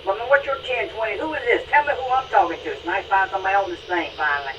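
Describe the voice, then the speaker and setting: Guy doing old trucker on a cb, high voice, far off n noisy, rambling good buddy slang. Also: very fast, pushed and bright. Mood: chatty. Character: folksy old trucker called Sweet Caroline on CB radio. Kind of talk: CB radio chatter. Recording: distant, noisy CB radio transmission.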